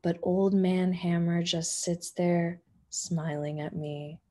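A woman in her thirties reading a children's picture book aloud like a teacher, with gentle, expressive phrasing. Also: fairly low pitch, slow.

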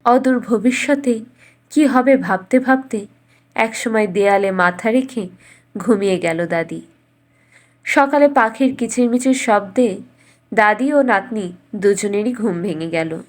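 A woman's voice: mid-range voice, measured pace, pauses often. A woman reading a scripted fairytale as a storyteller.

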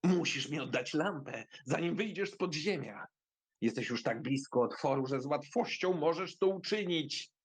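Evil angry sorcerer uncle from a storybook play, raspy, raised pitch, menacing shouts and hisses. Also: male voice, lively intonation, normal speed. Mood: enraged. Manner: shouting threats and hissing spells. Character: furious, menacing male sorcerer uncle with satanic voice. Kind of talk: storybook audio drama.

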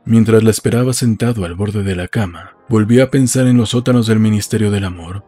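A man's voice: fairly low pitch, fairly quick, mellow. A man narrating a novel as a scripted literary audiobook. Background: faint music.

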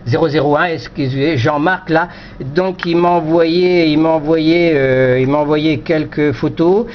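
A man's voice: a little high, fairly even intonation, unhurried. A man from France chatting casually as an amateur radio operator, repeating himself and punctuating phrases with small filler words. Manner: repetitive, punctuates phrases with "là" and "voilà". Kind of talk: casual radio contact chat. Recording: dull lo-fi sound, faint noise.

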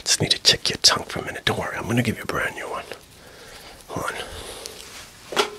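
A man whispering through a silly doctor-visit ASMR roleplay, talking softly and unvoiced into a close microphone. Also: brisk.

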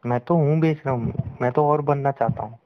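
A man's voice: mid-range voice, normal speed, dry recording. A young man with an Indian accent bantering casually, mock-scolding in playful couple chat. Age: young adult. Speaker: boyfriend on a phone call. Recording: dull, dry lo-fi call recording.

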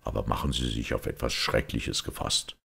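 A grave, sly priest of a detective audiobook, acted out by a male reader. He speaks slowly and solemnly in a hushed, extremely low voice with a husky grain. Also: little pitch movement, full character voice. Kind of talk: audiobook character voice.

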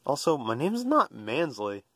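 A man's voice: medium pitch, wildly swooping pitch, a little slow, fairly bright tone, slightly rough, no room sound, clean studio sound, full character voice. A man voicing a reluctant, pedantic, exasperated sidekick in a comedy cartoon, protesting sarcastically.

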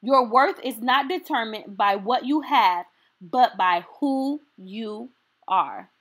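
Female storytime reader, fairly high voice, very animated intonation, unhurried and encouraging, for a children's picture book. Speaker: storytime host reading aloud.

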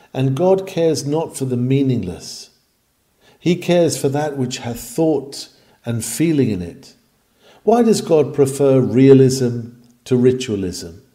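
Male, very dark and mellow tone, mid pitch. Earnest, measured sermon delivery with rhetorical weight. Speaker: reader of a historical sermon. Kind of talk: sermon, formal oratorical reading.

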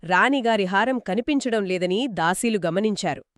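A woman co-hosting scripted children's storytelling in dialogue, with an expressive delivery. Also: mid-range voice, moderate pace, dry recording, clean studio sound. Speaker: co-host storyteller.

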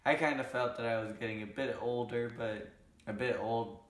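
A hoarse man in his twenties, talking earnestly in a casual vlog recap, rambling and correcting himself as he goes. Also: medium pitch, fairly even intonation, normal speed, roomy sound. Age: 20s. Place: living room couch, indoors.

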